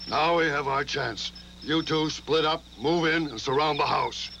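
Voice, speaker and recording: A man playing a bossy, irritable robber gang leader in a fairy tale cartoon, gruff and commanding. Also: fairly high pitch, unhurried, bright, forceful tone, slight background noise. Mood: scheming. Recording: music behind the voice.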